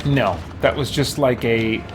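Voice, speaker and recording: A man's voice: mid-range voice, a little slow. A man chatting casually as he streams a game, reading on-screen text aloud and muttering mild exclamations to himself. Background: game music.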